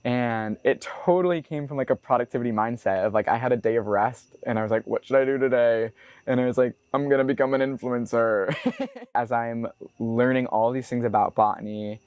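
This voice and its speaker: A man's voice: medium pitch, steady tone, fairly quick, clear, resonant tone, dry recording, lo-fi sound. Young man musing casually, dry close sound. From United States. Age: 20s. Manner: casual, loose, full of "like" fillers. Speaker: botanist and climber being profiled. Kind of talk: reflective documentary interview.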